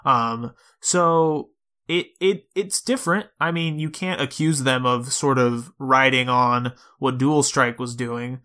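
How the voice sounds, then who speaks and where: Male podcast co-host, crisp-edged bright tone, mid pitch, conversational and considered game discussion. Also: slow. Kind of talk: casual video game discussion.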